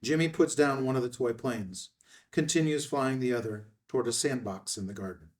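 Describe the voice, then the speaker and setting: Man narrating screenplay directions, medium pitch. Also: moderate pace, distant mic.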